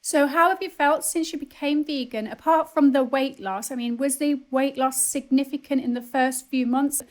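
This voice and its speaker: A woman with a distinctly high-pitched voice hosts an interview. She speaks conversationally, putting casual questions to a guest. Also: fairly even intonation, normal speed. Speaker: interviewer, summit host.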